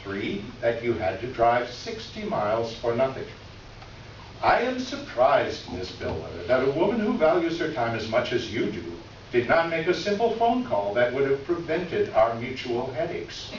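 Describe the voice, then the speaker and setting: A man's voice: mid-range voice, big pitch swings, natural voice. A smug, condescending male store official, acted by a man reads a formal letter in a readers theater piece. His intonation swings widely as he leans on sarcastic points. The sound is distant and very reverberant, as from a hard-walled room. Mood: smugly polite, sarcastic. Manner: formal, sarcastic, deliberate emphasis. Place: live reading in an echoey room. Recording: distant echoey room, muffled, faint noise.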